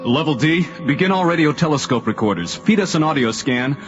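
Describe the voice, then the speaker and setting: A man's voice: fairly high pitch, expressive, brisk, over music, natural voice. Guy playing starship control officer, clipped procedural talk, muffled old radio show sound. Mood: businesslike. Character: businesslike male space station control officer. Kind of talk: naturalistic radio drama acting.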